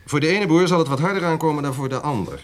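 An actor playing a formal, firm official who presents plans in a measured, slightly hesitant way in a radio drama. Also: male voice, on the high side, lively intonation, lightly acted. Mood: businesslike. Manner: businesslike, measured, with small hesitations.

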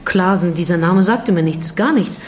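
A woman's voice: lowish voice, normal speed, a little hiss or hum, lightly acted. An actress playing an earnest woman in radio drama dialogue, questioning and direct. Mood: curious. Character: probing, earnest woman in conversation with a writer.

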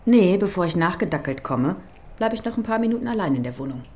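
An actress playing an ambitious, stubbornly independent police investigator in a radio crime drama, with a dry, teasing, know-it-all manner. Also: female voice, lowish voice, animated, fast, a little mellow. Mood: wry.

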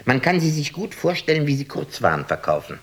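An actor in a radio drama playing a wry, irreverent official, speaking in a measured, ironic way. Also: male voice, on the high side, very animated, fairly bright tone. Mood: sardonic. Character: wry, irreverent male official arranging an imperial banquet.

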